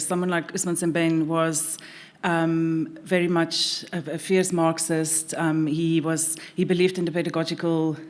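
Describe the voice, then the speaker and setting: A woman film scholar on a panel who speaks in a measured, academic way and repeats words while she thinks. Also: fairly low pitch. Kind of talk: panel discussion.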